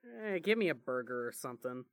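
Narrator doing a goofy teasing brother for a story podcast, rambly slang, medium pitch. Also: sing-song, fairly quick, no room sound. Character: smirking, joking, slangy young brother.

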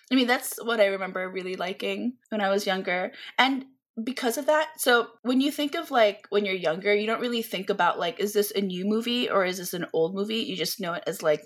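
A woman from the Philippines, a podcast guest, talking in a chatty, animated way with lots of fillers. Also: medium pitch. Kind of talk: casual conversational interview.

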